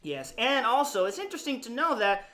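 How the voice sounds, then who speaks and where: A man from Puerto Rico talks as a podcast co-host with a very bright, crisp-edged tone. His phrasing is measured and deliberate, in an informal conversational style. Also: extremely high pitch, very animated. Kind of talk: casual scripted-topic chat.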